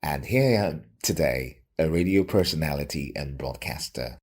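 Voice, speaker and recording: Deep husky nigerian guy, radio presenter type, telling his story slow and emphatic. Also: lively intonation, darkish tone. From Lagos, Nigeria. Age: middle-aged. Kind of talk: reflective personal storytelling in an interview.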